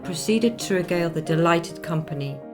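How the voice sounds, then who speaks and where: Low-voiced, genteel 19th-century lady, played by an actress. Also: music in the background, lightly acted. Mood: composed, sympathetic. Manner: measured, formal, genteel storytelling. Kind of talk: radio play narration.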